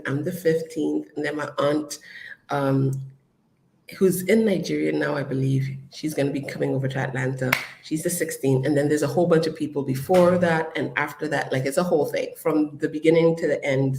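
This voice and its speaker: An adult host giving a casual live-stream update to followers, chatty and upbeat, with frequent ums and shout-outs. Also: medium pitch, steady tone, moderate pace, gravelly, a little hiss or hum, low-quality recording. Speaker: business community host.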